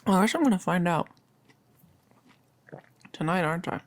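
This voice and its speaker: A woman playing a nervous, chatty girlfriend in an intimate ASMR roleplay, rambling and confiding with hesitant pauses. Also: on the low side, expressive, no room sound, close to an everyday voice. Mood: teasing, nervous. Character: nervous, chatty girlfriend about to become a vampire. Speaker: audio roleplay performer.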